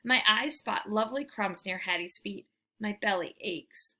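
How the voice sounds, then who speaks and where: A woman reading a children's book aloud as a storyteller, with expressive, emphatic delivery. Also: mid-range voice, unhurried. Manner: animated, emphatic, theatrical delivery.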